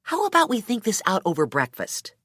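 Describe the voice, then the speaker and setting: Lady reader doing a wry sensible gal in an audiobook, teasing, pitch jumps around a lot. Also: huge pitch swings, fairly quick, fairly bright tone, dry recording, studio quality. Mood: wry, placating. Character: wry, sensible woman calming her hot-headed male friend. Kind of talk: audiobook character dialogue.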